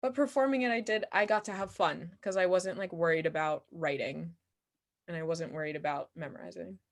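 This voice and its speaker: A young woman with a voice a little on the low side, answering interview questions casually. She is animated and giggly, and talks candidly with a self-deprecating streak. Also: a little fast. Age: young adult. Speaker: guest, playwright and solo performer.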